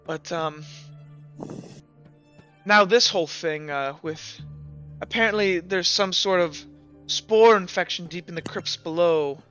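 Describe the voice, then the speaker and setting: A man voicing a tired, worried female guard commander with a halting, grave delivery in a tabletop game. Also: sits high, fairly bright tone, lo-fi sound, soft background music.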